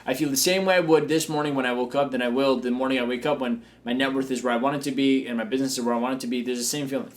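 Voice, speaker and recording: A man's voice: mid-range voice, steady tone, rapid-fire, hardly any pauses. A man hosting a coaching show, talking in a confident, upbeat and joking way. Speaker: business coach and show host. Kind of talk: motivational coaching talk.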